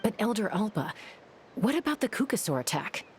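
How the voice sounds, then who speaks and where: A woman's voice: medium pitch, very animated, fairly quick, darkish tone, slight background noise, close to an everyday voice. An actress playing a composed, level-headed young woman in a video game, speaking in a matter-of-fact way. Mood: questioning.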